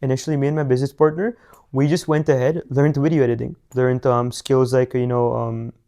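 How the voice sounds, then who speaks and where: Give me a teenage man from India, rambling and flat-voiced, full of fillers. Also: mid-range voice, fairly quick. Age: teens. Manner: rambling, many fillers and restarts. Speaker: guest, young business founder. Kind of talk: casual interview answers.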